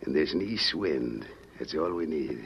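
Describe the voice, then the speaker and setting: An American actor playing a cold, scheming outlaw leader in an old-time radio Western, talking in curt, folksy commands. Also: male voice, a bass voice, animated, a little slow, vocal fry, exaggerated voice. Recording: muffled vintage radio recording, faint noise.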